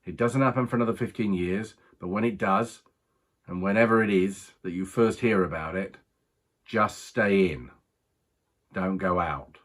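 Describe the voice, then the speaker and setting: A man acting a husky, low-voiced racing man. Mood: earnest warning. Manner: halting, confiding, colloquial, with pauses. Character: rueful working-class British racing man confiding a strange story. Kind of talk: dramatic monologue, conversational storytelling.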